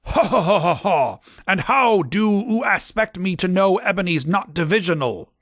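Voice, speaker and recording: A man's voice: sits high, normal speed, a bit bright, no room sound, studio quality, voice pushed up. A man voicing a chortling old male wizard headmaster who lisps. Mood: mocking. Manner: chortling, lisping "oo".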